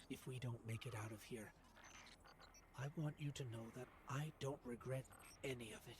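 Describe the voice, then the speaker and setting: An actor voicing a devoted little machine companion in a video game, earnest, tender and reflective. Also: male voice, mid-range voice, background noise. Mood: tender, resolute. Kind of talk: video game cutscene monologue.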